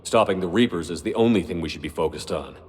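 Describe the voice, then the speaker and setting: A man playing a resolute, steady military commander in a cinematic video game. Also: low-pitched, fast talker, natural voice. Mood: resolute, reassuring. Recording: long reverb, faint ambient noise.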